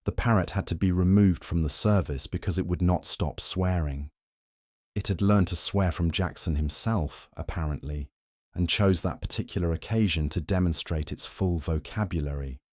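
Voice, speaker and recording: A man reading a scripted history narration in a slow, measured, soothing way meant for falling asleep. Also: low-pitched, dark tone, no room sound, studio quality. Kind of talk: calm scripted bedtime narration.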